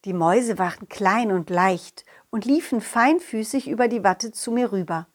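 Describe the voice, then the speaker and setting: A woman voicing a confident, loyal dog who tells his adventures directly to children in an animated, childlike way. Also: mid-range voice, normal speed, voice pushed down, close to an everyday voice. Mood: storytelling. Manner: childlike, animated, addresses young listeners directly. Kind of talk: children's audio story narration.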